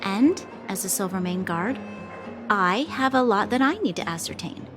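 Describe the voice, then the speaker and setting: A woman's voice: mid-range voice, expressive, normal speed, natural voice. A woman playing an earnest, dutiful young military officer in a video game, speaking formally with reserved precision. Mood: composed, resolute. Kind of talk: video game character acting. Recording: background music under the voice.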